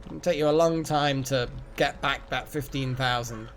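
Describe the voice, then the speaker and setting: A man's voice: medium pitch, steady tone, normal speed, fairly bright tone, slight background noise. A man hosting a live game stream, chatting casually and rambling as he thinks aloud. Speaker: game livestream host. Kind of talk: casual live gaming chat.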